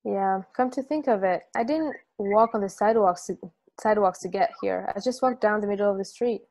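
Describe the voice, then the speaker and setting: A woman's voice: medium pitch, fairly quick, darkish tone, natural voice. Young woman as easygoing but guarded traveler lady, plain casual talk, stage play read on a video call. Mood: reminiscing. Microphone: video call microphone.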